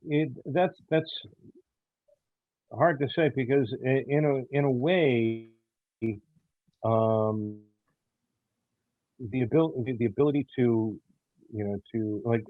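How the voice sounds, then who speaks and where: A man answering as an interview guest, haltingly, often repeating words as he searches for phrasing. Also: lowish voice, dry recording. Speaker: audio drama writer, interview guest. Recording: tinny phone-like call audio.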